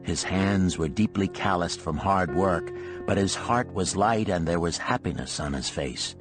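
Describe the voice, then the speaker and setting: Low-voiced man narrating an old parable. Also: measured pace, music in the background. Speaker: audiobook narrator. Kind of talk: scripted storybook narration of a parable.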